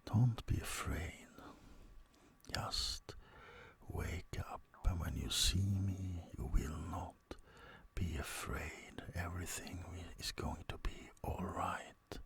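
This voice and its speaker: Man playing a low-voiced, murmuring suave vampire. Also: whispered. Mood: hypnotic, soothing. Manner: soft, slow, breathy murmuring with dramatic pauses. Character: suave, teasing male vampire at a bedside. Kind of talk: ASMR roleplay, often whispered.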